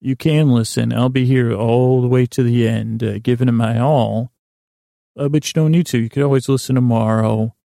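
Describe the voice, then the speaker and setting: A man hosting a bedtime podcast in a meandering, halting, gently silly and self-deprecating monologue that drifts into tangents. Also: mid-range voice, steady tone, gravelly, no echo, clean studio sound. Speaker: podcast host.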